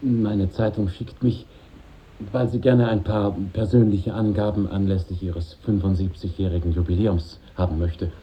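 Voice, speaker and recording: An actor playing a polite but persistent newspaper reporter with a tentative manner in a 1950s radio play. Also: male voice, low voice, steady tone, normal speed, close to an everyday voice. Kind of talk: 1950s radio drama acting. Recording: muffled old radio recording.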